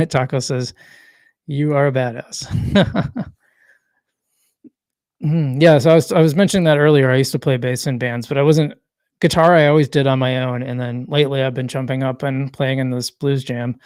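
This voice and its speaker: A man hosting a casual solo livestream, rambling and correcting himself as he reads chat comments, his voice worn and going hoarse. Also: mid-range voice, fairly even intonation, normal speed, pauses often, a little mellow, no room sound, clean studio sound. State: losing his voice. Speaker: livestream host.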